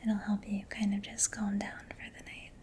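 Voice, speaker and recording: A soft-spoken woman in a breathy whisper, gently and reassuringly playing a caring mechanic in an ASMR roleplay. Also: mid-range voice, completely flat delivery, normal speed. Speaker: ASMR performer.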